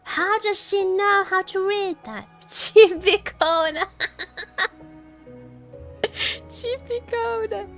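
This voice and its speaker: A woman's voice: very high-pitched, drawn-out words, pauses often, a bit bright. A woman giving casual, playful game commentary to her chat, often repeating words and phrases. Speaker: game livestreamer. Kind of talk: casual reaction commentary to chat while gaming. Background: faint game music.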